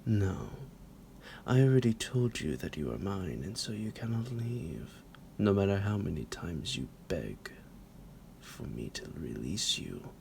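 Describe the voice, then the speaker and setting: A man playing a centuries-old psychotic vampire captor in an ASMR roleplay, possessive and mock-tender, speaking theatrically in a breathy, gravelly whisper that drops into a low creak. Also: big pitch swings, a little mellow, slight background noise, heavily acted. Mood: possessive, teasing. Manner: slow, theatrical, menacingly affectionate, chuckling. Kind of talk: ASMR roleplay addressed to the listener.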